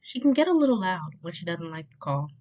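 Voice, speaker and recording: Female storytime reader, expressive rhyming picture-book delivery, animated intonation, slightly muffled low-fidelity recording. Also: fairly quick. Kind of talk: children's picture book read-aloud. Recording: dull lo-fi sound.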